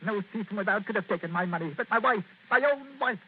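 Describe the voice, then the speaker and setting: An actor playing a bitter, miserly old retired colourman in a 1940s-style radio drama, his husky voice heard over a tinny old broadcast. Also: high-pitched, quick pace. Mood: aggrieved. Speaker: client Mister Amberley.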